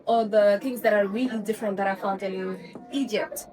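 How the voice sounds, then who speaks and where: A woman's voice: medium pitch, fairly even intonation, normal speed, over music. A woman from Zimbabwe, a student, answering plainly in simple phrases. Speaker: student interviewee. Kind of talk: casual on-camera answers.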